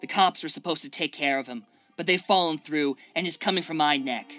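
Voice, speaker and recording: A man's voice: a little high, fairly quick, pushed and bright, faint music behind. A man playing a paranoid, domineering crime boss in a radio drama, demanding and agitated. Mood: anxious.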